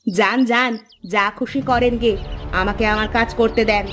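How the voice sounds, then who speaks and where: A woman voicing a sharp-tongued, defiant young daughter-in-law in a cartoon, snapping back sassily. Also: on the high side, fairly even intonation, normal speed, noisy background, natural voice. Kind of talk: Bengali cartoon voice acting. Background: background music.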